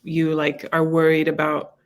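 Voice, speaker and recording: A woman from Los Angeles talks casually as a podcast guest. Her voice sits low, and she is bubbly and self-mocking, with quick laughs between her thoughts. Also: monotone. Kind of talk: casual chat.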